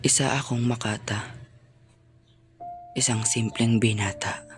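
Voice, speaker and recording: A man playing an earnest, sorrowful young student poet in a solemn, measured dramatic monologue. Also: mid-range voice, fairly even intonation, close to an everyday voice. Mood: earnest, resolute. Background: faint music.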